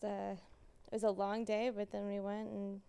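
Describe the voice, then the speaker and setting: A woman with a smooth, clear voice chats as a podcast guest. She tells her story in a giggly, offhand way, slipping into quoted asides. Her pitch sits in an ordinary middle range. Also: monotone, a little slow, mellow. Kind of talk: casual podcast chat.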